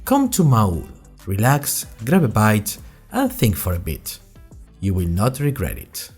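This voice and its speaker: Young male student journalist played by a male actor, animated and wry, chatty podcast storytelling with music underneath. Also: fairly low pitch, a little slow, husky, full character voice. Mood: earnest promotion. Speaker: fictional podcast host and narrator. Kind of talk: scripted first-person audio drama narration in chatty podcast form.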